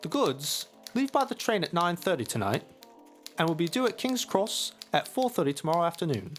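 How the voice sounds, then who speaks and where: A man's voice: fairly high pitch, big pitch swings, a little fast, fairly bright tone, soft background music. A man playing a Victorian English solicitor, dictating a business letter in a formal, clipped and officious manner. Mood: officious, courteous. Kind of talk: dramatic audio drama letter reading.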